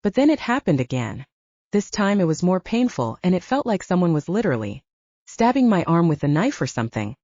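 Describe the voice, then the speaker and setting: Mellow woman narrating fiction, dull recording. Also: on the low side, sing-song, brisk, no echo. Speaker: audiobook narrator. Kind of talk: scripted first-person fiction narration.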